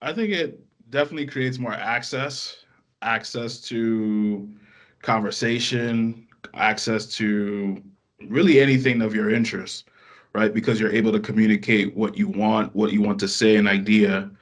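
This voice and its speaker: An American man speaking casually as a podcast guest, in reflective, measured phrases. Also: lowish voice, steady tone. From United States. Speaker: podcast guest, language student. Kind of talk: casual interview answer.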